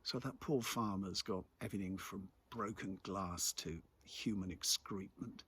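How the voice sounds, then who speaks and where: Husky low-voiced English man, musing. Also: a little slow, a bit bright. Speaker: local commentator and host. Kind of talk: reflective spoken monologue.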